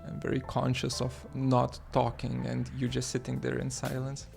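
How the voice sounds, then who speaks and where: Gravelly-voiced man chatting on a livestream. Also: mid-range voice, monotone, music in the background. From Lithuania. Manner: halting, many fillers and restarts, reads chat aloud. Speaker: livestream host. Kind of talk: casual livestream chat.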